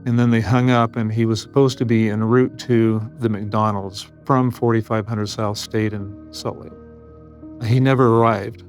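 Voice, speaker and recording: A man's voice: lowish voice, fairly even intonation, measured pace, faint music behind. Matter-of-fact American man recounting a case. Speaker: police detective interviewee.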